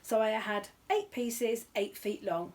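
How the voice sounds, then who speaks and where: A woman's voice: a little high, slow, a little mellow. A woman voicing a polite, earnest, literal-minded young male bear in a children's storybook reading. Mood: matter-of-fact. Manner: polite, matter-of-fact, insistent.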